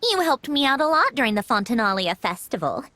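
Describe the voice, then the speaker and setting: A woman's voice: a little high, big pitch swings, brisk, bright, forceful tone, no echo. A woman playing a proud, refined young lady inventor in an anime video game, speaking in a prim, self-assured and articulate way. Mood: prim, gently haughty.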